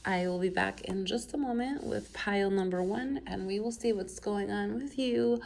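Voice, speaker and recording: A woman's voice: mid-range voice, normal speed, gravelly, darkish tone. Stuffy-voiced woman with a head cold. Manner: chatty, rambling asides with many fillers. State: has a cold, stuffed up and coughing. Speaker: tarot reader. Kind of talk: casual pick-a-pile tarot reading to camera. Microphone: camera mic.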